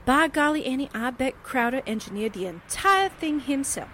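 A woman's voice: high-pitched, lively intonation, moderate pace, fairly bright tone, slight background noise. An actress playing a spunky, wisecracking newspaperwoman sidekick in a 1940s radio drama, slangy and suspicious. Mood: excited.

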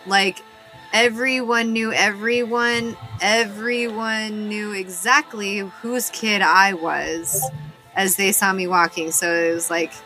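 Bright-voiced American woman, blunt and casual. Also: mid-range voice, steady tone, takes it slowly, soft background music, rough recording. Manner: blunt, sweary, joking. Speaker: podcast host. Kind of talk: casual chat.

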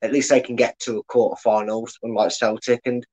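A man co-hosting a football fan stream, talking casually and halting often, repeating words. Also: medium pitch, level tone, darkish tone. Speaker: co-host.

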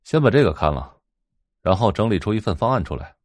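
An actor playing a cool, commanding young rich man in an audio drama, speaking low, terse and flat. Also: fast, no echo, studio quality. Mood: cool, curt. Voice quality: low, hushed.

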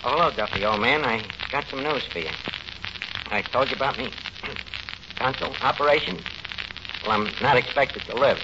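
An actor playing a melodramatic, self-important, uneducated tavern manager with a New York accent, mangling lofty phrases in 1940s radio comedy style. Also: male voice, medium pitch, normal speed, pauses often, natural voice. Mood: mock-solemn. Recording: noisy, muffled 1940s radio recording.

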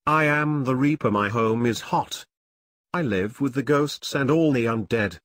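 A man's voice: mid-range voice, a little slow, a bit bright, dry recording, clean studio sound, pitched above the performer's own voice, close to an everyday voice. A man voicing the Reaper, a sad immortal lord of the dead, declaiming mournfully in a dramatic podcast story. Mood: brooding, mournful.

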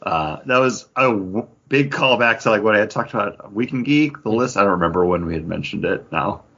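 A man co-hosting a casual geek-culture chat, talking in a halting, conversational way full of filler words. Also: fairly low pitch, lively intonation, a bit bright, breathy edge, lo-fi sound. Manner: halting, conversational, filler-heavy with frequent 'like'. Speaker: podcast co-host.

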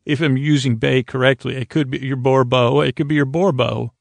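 Male sleep-podcast host: lowish, heavily creaky voice, soothing and lulling, wandering and stumbling through unfinished thoughts. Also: fairly even intonation, no echo. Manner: meandering, halting, repeats and trails off mid-thought. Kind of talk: rambling bedtime monologue to help listeners sleep.

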